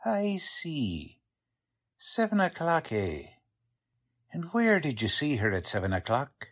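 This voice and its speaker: Male actor as a husky, bossy cop sergeant in a radio-style mystery, lively pitch. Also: takes it slowly, exaggerated voice. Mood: interrogating. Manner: bossy, streetwise, working-class grammar. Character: gruff blustering male police sergeant. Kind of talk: amateur audio drama acting.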